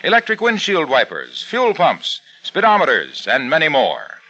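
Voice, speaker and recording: Bright, lively male radio pitchman. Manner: brisk, emphatic pitchman delivery. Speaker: radio sponsor announcer. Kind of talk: 1950s radio commercial reading. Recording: dull old radio broadcast with faint music.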